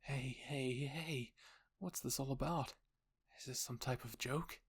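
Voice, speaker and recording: A man's voice: mid-range voice, measured pace, slightly rough. A man voicing an outspoken, easily flustered young woman in a story, emphatic and indignant.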